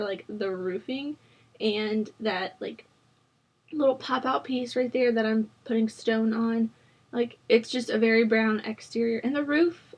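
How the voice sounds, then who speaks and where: Hoarse young woman chatting casually. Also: fairly high pitch. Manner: rambling, chatty, many ums and likes, laughs at herself. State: hoarse from a sore throat. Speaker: Sims building channel host. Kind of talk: casual voice-over chat during a speed build.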